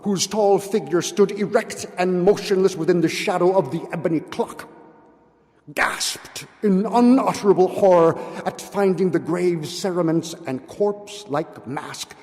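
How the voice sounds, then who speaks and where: A man giving a dramatic recitation of a gothic story, theatrical in delivery, moving between hushed and forceful declamation. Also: high voice, unhurried. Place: large hall at a public meeting. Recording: live hall with long reverb.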